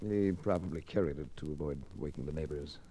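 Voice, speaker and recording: A man's voice: very deep voice, brisk, warm tone, breathy edge. A man playing a tough, wry American investigator with clipped, dry delivery in vintage radio detective style. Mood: dry, sardonic. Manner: clipped, hard-boiled, dry. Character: tough, wry male private investigator, cool and sardonic. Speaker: lead actor and first-person narrator. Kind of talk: 1940s-50s radio detective acting. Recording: noisy vintage radio recording.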